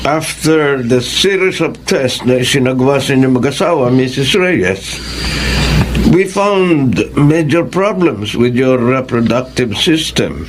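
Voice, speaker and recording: An actor playing a grave, formal doctor in a Filipino radio drama, speaking haltingly in a Filipino accent. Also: male voice, mid-range voice, expressive. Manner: halting, formal, mixes English and Tagalog. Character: grave, deliberate doctor giving results. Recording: noisy background ambience.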